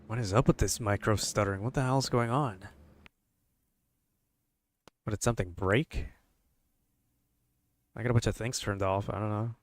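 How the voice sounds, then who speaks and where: A man's voice: on the low side, fairly even intonation, normal speed, pauses often. A man streaming a horror game, grumbling casually and swearing, wary of what lies ahead. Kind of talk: casual livestream commentary.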